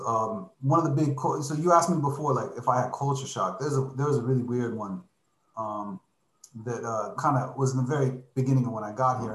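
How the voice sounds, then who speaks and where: A man explains things in an easygoing, conversational way, sprinkling his speech with "like" and "right?". His voice sits at a middling pitch. The recording carries a noticeable room echo. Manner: relaxed, explanatory, slips in "like" and "right?". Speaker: podcast guest living abroad. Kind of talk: casual chat. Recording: echoey room.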